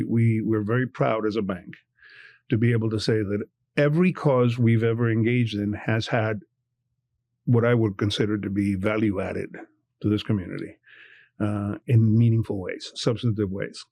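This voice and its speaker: A man's voice: lowish voice, steady tone, moderate pace, creaky, dark tone, dry recording. An older man from Cuba, a bank executive speaking passionately in a podcast interview, often repeating words for emphasis. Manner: passionate, repeats words when stressing a point.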